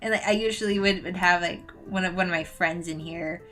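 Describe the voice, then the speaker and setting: Chatty young woman, slightly hoarse. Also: fairly low pitch, brisk, a bit bright. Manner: rambling, self-deprecating, frequent restarts and laughs. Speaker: animator streaming her work. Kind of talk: casual live-stream chat. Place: home room.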